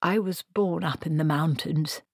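A woman's voice: low-pitched, measured pace, a little mellow, breathy edge, no echo, clean studio sound, exaggerated voice. A woman voicing a miserable, reluctant male wizard in a storybook, fussy, protesting and formally polite.

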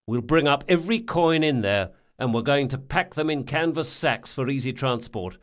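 Man playing shrewd gang leader, commanding, emphatic, brisk orders, raised pitch, audiobook fantasy. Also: expressive, close to an everyday voice. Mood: decisive.

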